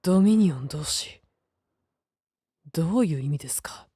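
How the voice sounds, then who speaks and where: A woman's voice: low voice, expressive, normal speed, dark tone, husky, no room sound, studio quality. A woman playing a proud, insecure young male knight-keeper in a fantasy drama, formal and defensive. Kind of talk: dramatic scripted voice acting.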